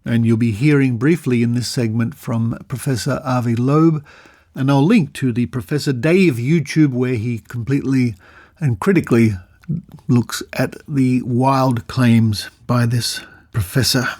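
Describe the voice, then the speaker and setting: A man with an Australian accent presenting a podcast conversationally, with deliberate pauses and drawn-out emphasis. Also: mid-range voice, steady tone, a little mellow. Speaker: podcast host.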